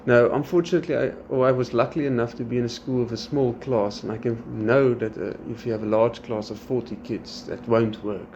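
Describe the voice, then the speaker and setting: A man's voice: mid-range voice, a little fast, hardly any pauses. A South African man whose first language is Afrikaans, chatting casually as a podcast host and acting out his students' lines. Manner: animated, quotes his students. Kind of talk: casual chat. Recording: slightly dull sound with faint background noise.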